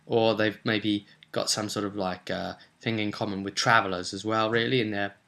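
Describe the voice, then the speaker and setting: A man's voice: on the low side, little pitch movement, normal speed, creaky, pushed and bright. A man talking casually as a game master recapping a role-play session, conversational, often putting questions to the listener. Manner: conversational, rhetorical questions and tag questions.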